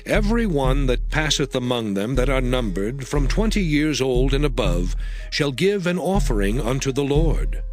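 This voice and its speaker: A man playing a solemn voice of divine instruction in a dramatized scripture reading, deliberate and emphatic. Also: medium pitch, natural voice. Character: solemn male voice reciting God's detailed sacred instructions.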